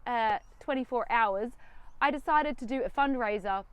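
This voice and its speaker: A young woman vlogging from inside her van, defiant and sarcastic as she talks, her words jumping up with lively emphasis. Her voice sits a little high for a woman. The recording is dry and close, with no room sound. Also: normal speed, clean tone, no room sound, highs cut off. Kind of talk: casual direct-to-camera talk.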